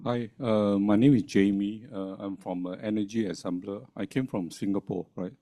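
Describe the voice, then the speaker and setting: A man's voice: lowish voice, steady tone, moderate pace, warm tone, dry recording, studio quality. A man from the audience asking the panel a question, casual with tag questions.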